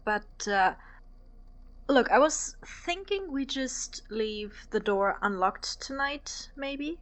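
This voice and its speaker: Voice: high voice, animated, slow, a bit bright, exaggerated voice. A performer playing a breezy, casual young male college roommate in an audio drama, offhand and easygoing. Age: young adult.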